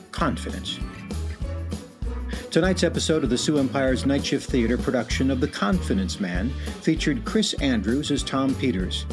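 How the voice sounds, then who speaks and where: A man hosting a radio anthology, narrating a scripted outro in a measured, theatrical manner. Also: medium pitch. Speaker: host and narrator. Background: music.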